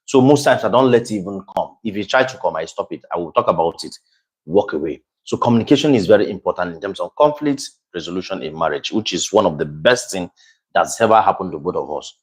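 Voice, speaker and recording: A man chatting with his wife about marriage, loud and animated, turning to address his viewers. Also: sits low, fast. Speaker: husband co-hosting a couple's channel. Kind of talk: casual chat.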